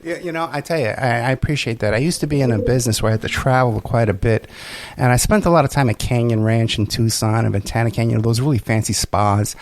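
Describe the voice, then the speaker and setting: Host: New York-accented man, mid-pitched voice, chatty and jokey, in a dry, close sound. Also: fast talker, dry recording. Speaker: podcast host. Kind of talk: interview conversation.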